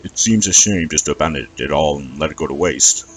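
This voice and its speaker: Storybook dragon, played by a man: big, courteous British gent, flowery and pompous, low-pitched. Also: fairly quick. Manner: pompous, over-polite, flowery. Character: large, polite, genteel male dragon, grateful and flowery.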